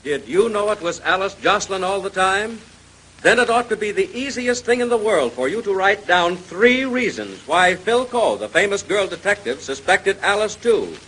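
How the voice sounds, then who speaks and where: High-pitched, animated man announcing on old radio. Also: moderate pace. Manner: emphatic salesmanship. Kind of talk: 1930s radio contest announcing. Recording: noisy vintage radio recording.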